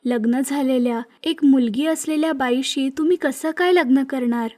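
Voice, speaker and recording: A woman voicing an earnest, frank young widowed mother in an animated story. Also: sits high, moderate pace, natural voice. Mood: frank, firm.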